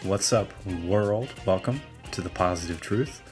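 A man with a very low voice hosts a podcast with upbeat, promotional energy and keeps the show moving. Faint music runs underneath. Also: unhurried. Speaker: podcast host.

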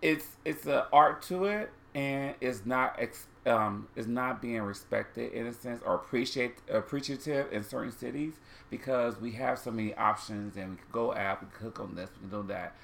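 A man's voice: mid-range voice, moderate pace, vocal fry, few pauses, a bit bright, a little hiss or hum. A man of about forty co-hosting a candid chat, rambling and losing his thread. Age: 40s. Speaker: podcast co-host.

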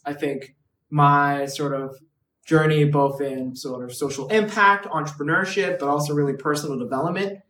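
A man's voice: a little high, fairly bright tone, far from the mic, slight background noise. A man in his twenties from Hong Kong, a guest chatting casually on a podcast with lots of "like" and "right". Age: 20s. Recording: roomy echo, away from the mic.

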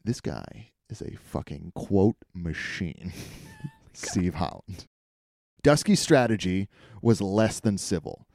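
A man's voice: low voice, very animated, takes it slowly, a little mellow, no room sound. A man hosting a podcast, telling a comedic story in a wry, irreverent way. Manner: wry, irreverent, swears casually.

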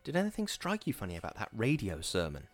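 A man's voice: fairly low pitch, wildly swooping pitch, quick pace, no room sound. A man doing an uneasy young husband.

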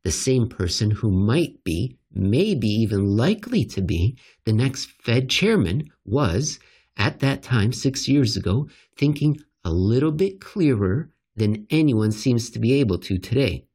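A man reading a scripted economics commentary aloud as narrator, with an emphatic, rhetorical delivery and deliberate pauses. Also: mid-range voice, very animated, darkish tone, slightly rough.

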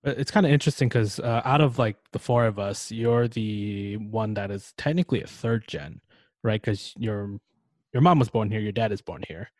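A young American man hosting a casual podcast talk, relaxed, with lots of fillers and restarts. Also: fairly low pitch, moderate pace, dry recording. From United States.

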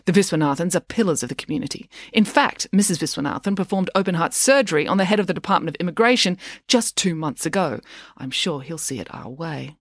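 A woman's voice: lowish voice, lively intonation, fast, dry recording, studio quality. A woman voicing an authoritative, formidable female lawyer in a storybook, formal and assertive. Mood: insistent, formal.

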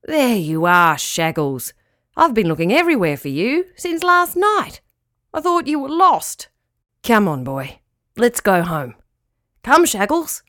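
A woman acts out a kindly storybook man, the master of a dog. He talks in a puzzled, coaxing way with animated rises and falls, in a dry recording. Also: medium pitch, a little slow, no room sound. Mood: relieved, coaxing. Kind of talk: children's storybook character voice.

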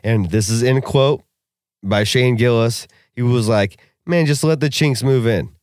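Young man, podcast co-host, loose profane rant, mid-pitched voice, dry close recording. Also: unhurried, no room sound. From Russian family background. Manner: profane, animated ranting. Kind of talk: casual podcast chat.

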